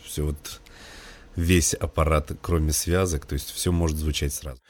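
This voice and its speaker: Man, very low voice, co-host, hesitant follow-up questions. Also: flat delivery, moderate pace, darkish tone. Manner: tentative, adding follow-up questions. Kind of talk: interview interjections.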